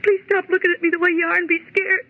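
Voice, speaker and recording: A woman's voice: extremely high pitch, little pitch movement, fast, highs cut off. An actress playing a frightened woman office worker who urgently pleads in an old-time radio horror drama. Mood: desperate, urgent. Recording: noisy, band-limited old radio recording.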